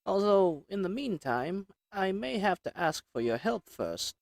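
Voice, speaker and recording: Man putting on a high, polite, meek storekeeper. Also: sing-song, a little slow, creaky, no room sound, full character voice. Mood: polite, helpful. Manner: courteous, hesitant, deferential. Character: polite, meek storekeeper, an assassin's flirty disguise. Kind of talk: scripted roleplay audio drama.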